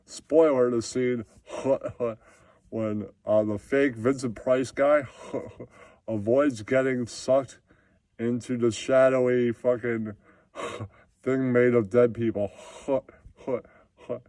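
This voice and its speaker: A man's voice: mid-range voice, steady tone, slow, dark tone, dry recording, clean studio sound, close to an everyday voice. Man doing a dim-witted, grunting, chuckling puppet. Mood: dim, amused. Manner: grunting chuckles between phrases, repetitive.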